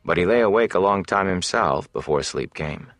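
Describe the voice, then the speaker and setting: A man's voice: sits low, expressive, moderate pace, a bit bright, no echo, soft background music. A man narrating a fantasy novel as a scripted audiobook reading.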